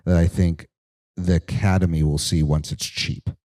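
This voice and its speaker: Very deep-voiced mellow man. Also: normal speed, dry recording, clean studio sound. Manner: relaxed, explanatory, casual phrasing. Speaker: podcast co-host, industry physician-scientist. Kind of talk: live panel conversation.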